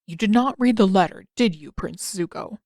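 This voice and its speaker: A woman voicing a grave older uncle in an audio story, speaking in a calm, formal and measured manner. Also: mid-range voice, no echo, clean studio sound, natural voice. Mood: grave, steady.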